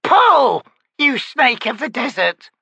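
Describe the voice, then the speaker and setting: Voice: mid-range voice, sing-song, bright, forceful tone, pitched above the performer's own voice, exaggerated voice. A narrator voicing an ancient, cackling, toothless old woman who hisses and shrieks her cryptic answers. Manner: hissing, shrieking, slow cryptic pronouncements.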